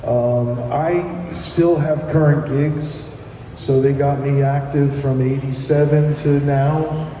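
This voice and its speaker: Middle-aged man with a New Jersey accent, slow halting talk, dark tone, roomy far-off sound. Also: medium pitch, steady tone, a little hiss or hum. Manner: hesitant, lots of ums, then sings with guitar. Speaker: punk musician guest. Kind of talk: casual radio interview and live acoustic singing. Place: live radio broadcast in a shopping plaza. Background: acoustic guitar.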